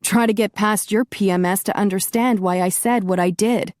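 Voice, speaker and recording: A woman's voice: medium pitch, normal speed, dry recording, natural voice. A woman doing a cocky, teasing immortal man. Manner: calm, dry and teasing.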